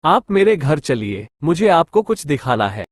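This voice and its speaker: A man's voice: on the high side, big pitch swings, a little slow, dry recording. A man acting village men in a Hindi cartoon moral story. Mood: coaxing. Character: gullible forgetful village priest and scheming greedy merchant.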